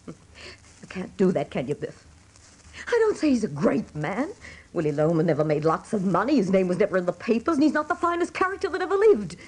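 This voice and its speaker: An actress playing a weary, fiercely loyal older wife and mother in a radio drama, pleading emphatically in a husky voice. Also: mid-range voice, sing-song, a little fast, a little mellow. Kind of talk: dramatic radio play acting.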